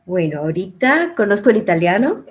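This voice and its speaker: A woman's voice: mid-range voice, very animated, a little fast, dull audio, a little hiss or hum. A middle-aged woman, telling her life story as an interview guest in a reflective, conversational way. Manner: reflective, with soft laughs and some trailing quiet phrases. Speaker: interview guest, former producer and journalist.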